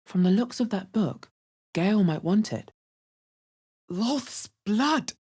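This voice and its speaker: A woman playing a wry, resolute, watchful adventurer heroine in a video game, with a deep, husky voice and a measured, understated delivery. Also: animated, dark tone. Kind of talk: video game character voice lines.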